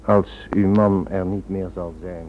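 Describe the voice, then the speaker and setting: A man playing a smug, cynical criminal schemer in a radio play, suave and mock-polite with quiet menace. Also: sits low, little pitch movement, a little slow. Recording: thin narrow-band old radio drama recording.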